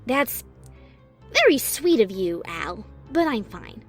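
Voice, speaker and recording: A man's voice: very high-pitched, big pitch swings, takes it slowly, fairly bright tone, faint music behind, voice pushed up, heavily acted. A man reading a fanfic, voicing a wry young female pony in a raised voice. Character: young female unicorn mare, breezy and wry.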